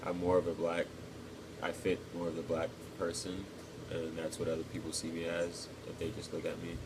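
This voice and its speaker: Young texas guy, really low voice, pretty monotone, lots of ums, hum in the background. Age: 20s. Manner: thoughtful, pauses with ums. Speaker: interviewee, cadet. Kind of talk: casual interview answers.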